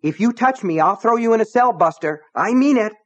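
A man's voice: animated, brisk. An adult man voicing a scrawny, nervous small-town deputy who talks in a shaky, defiant way. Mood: agitated. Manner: high-strung, trembly, defiant.

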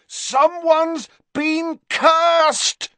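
A man's voice: high-pitched, big pitch swings, unhurried, breathy edge, no echo, pitched above the performer's own voice, full character voice. A determined teenage boy , voiced by a male narrator, calls out urgently in a lifted, strained voice. The sound is close and dry. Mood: urgent shouting. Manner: shouting over wind.

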